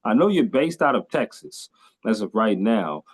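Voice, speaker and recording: A man with a fairly low voice hosts a casual podcast interview. He talks with eager enthusiasm, full of "uh"s and repeated phrases. He sounds upbeat and keeps the talk flowing with his questions. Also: big pitch swings, normal speed, breathy edge. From California. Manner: enthusiastic, lots of fillers and repeats. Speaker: podcast host.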